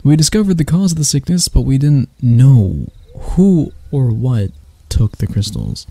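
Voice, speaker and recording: A man playing a husky, dark-voiced proud elf royal. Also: mid-range voice, dry recording, full character voice. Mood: grave, explaining. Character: proud, mocking elf royal interrogating an enemy knight. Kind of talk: ASMR roleplay monologue.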